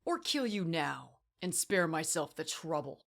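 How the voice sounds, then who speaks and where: A woman's voice: deep voice, very animated, unhurried, gravelly, a bit bright, heavily acted. A woman voicing a young, proud male bird-like warrior in a storybook reading, curt and cold.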